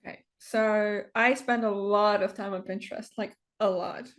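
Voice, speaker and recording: A woman in her twenties, speaking English as a second language, talks on a video call as an interview guest, hesitant and full of pauses and fillers. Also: medium pitch, steady tone. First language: not English. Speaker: interview guest, game builder. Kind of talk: casual conversation.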